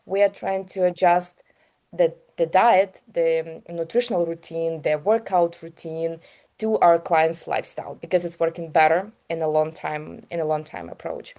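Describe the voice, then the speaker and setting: Casting: female interview guest with a Ukrainian accent, slightly low mellow voice, sincere and a little halting in conversation. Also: fairly even intonation. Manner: earnest, halting phrasing in non-native English. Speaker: guest, health business founder.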